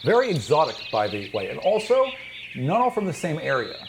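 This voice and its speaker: A man's voice: on the high side, huge pitch swings, a little fast, background noise. A man acting as game master, narrating a fantasy tabletop game with descriptive storytelling and casual table talk.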